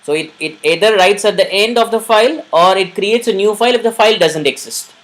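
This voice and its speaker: A man's voice: high voice, a little fast, a bit bright. A man teaching a live online programming class. He repeats each point and often checks that his listeners are following. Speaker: programming instructor.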